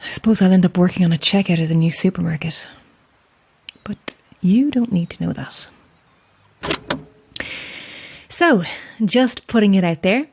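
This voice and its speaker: A woman keeping a lighthouse, in a naturalistic audio drama. Her voice sits slightly low. She is chatty and friendly with a wistful edge, slipping into hesitant, self-deprecating asides. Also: normal speed, creaky, a little mellow, slight background noise. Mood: wistful, self-mocking.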